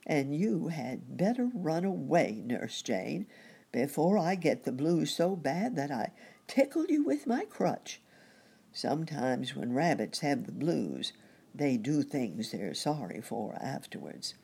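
A woman voicing a gloomy, cross old gentleman rabbit in a storybook, grumbling and fretful in old-fashioned speech. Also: low-pitched, sing-song, takes it slowly, creaky, husky, exaggerated voice.

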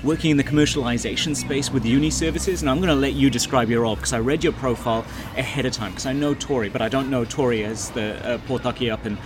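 A man's voice: mid-range voice, quick pace, hardly any pauses. A man hosting a casual interview, chatty with rambling lead-ins, recorded at an outdoor cafe. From England. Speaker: podcast host. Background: cafe and traffic noise.

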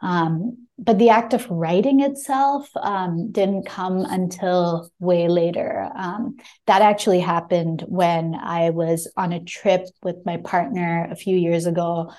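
Mellow, low-voiced woman speaking thoughtfully. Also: steady tone, slow. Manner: thoughtful, hesitant, searching for words. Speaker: debut memoir author, guest. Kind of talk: reflective interview answers, with a short reading at the start.

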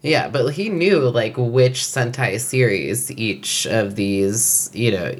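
A podcast co-host in casual chat, asking questions and praising details with eager, halting restarts. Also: medium pitch, expressive, slightly rough. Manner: questioning, enthusiastic, hesitant restarts.

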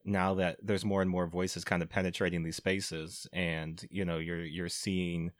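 Male podcast host, very low voice, dry close sound, laid-back and filler-heavy. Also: level tone, a little slow, dry recording. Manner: laid-back, lots of "you know" and "like". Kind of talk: casual podcast conversation.

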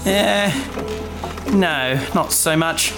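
An actor playing a wry companion who answers with deadpan understatement. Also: medium pitch, drawn-out words. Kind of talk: audio drama acting. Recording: loud music score under voice.

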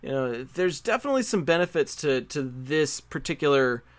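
A man's voice: a little high, lively intonation, normal speed, no echo. A man co-hosting a podcast, talking casually about pop culture and repeating words for emphasis. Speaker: podcast co-host.

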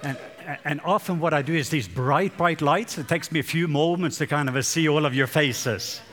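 A man's voice: on the high side, moderate pace. Man preaching from a lit stage. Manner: warm, joking with the congregation, loose rambling delivery. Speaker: guest preacher. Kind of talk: sermon. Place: large church hall with stage lights.

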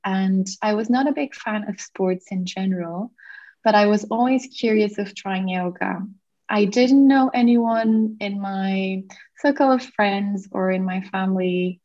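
A woman's voice: medium pitch, a little mellow, highs cut off. A woman in her thirties from Portugal, a yoga teacher who is a podcast guest, telling her story in a grateful, reflective way with frequent fillers. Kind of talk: conversational interview.

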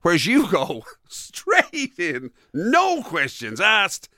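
A man's voice: high voice, wildly swooping pitch, takes it slowly, sharp tone, dry recording, studio quality. An Irish man co-hosting a radio show, chatting casually with a wry, teasing manner. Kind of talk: casual comic banter.

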